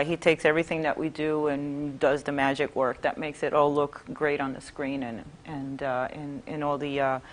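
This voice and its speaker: A woman from Puerto Rico, a union member, answering questions in a studio interview with frequent pauses. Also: low voice.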